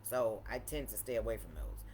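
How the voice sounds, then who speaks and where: A woman with a deep voice chatting casually and playfully in a wine tasting vlog, repeating phrases for emphasis. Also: lively intonation, fairly quick, heavy background noise. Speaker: vlogger reviewing wine.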